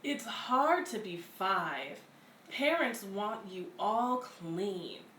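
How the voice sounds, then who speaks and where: Woman, children's read-aloud, very unhurried pace, lively pitch swings, expressive storyteller delivery. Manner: slow, deliberate, animated delivery for young children. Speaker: read-aloud story reader at a community center. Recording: roomy, distant mic, faint background noise.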